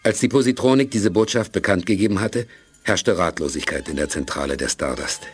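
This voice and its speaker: A man narrating a science fiction radio play in an even storytelling manner. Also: deep voice, a little fast, soft background music.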